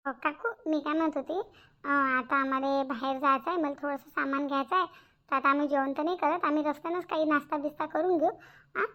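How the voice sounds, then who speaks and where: A woman acts a polite but crafty young village girl in a cartoon. Her voice is very high and coaxing, with a rural Maharashtrian accent, and the recording is a little dull. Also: fairly even intonation, fast, lightly acted. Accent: rural Maharashtrian Marathi. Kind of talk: cartoon comedy dialogue.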